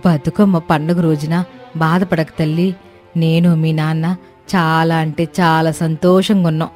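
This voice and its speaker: Gentle village mother, acted by a woman, middle-aged, soothing, tender, cartoon storybook drama, music behind. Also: deep voice, little pitch movement, unhurried. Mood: tender, consoling. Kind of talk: cartoon moral story acting.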